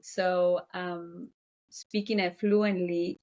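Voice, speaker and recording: A woman's voice: on the low side, little pitch movement, very slow, darkish tone. Hesitant woman hosting an interview. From Peru. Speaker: interview host. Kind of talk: casual interview.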